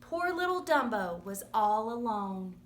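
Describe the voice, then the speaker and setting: A woman reading a children's story aloud in an expressive, dramatic storytelling manner. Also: mid-range voice, measured pace, slight background noise. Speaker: storybook reader.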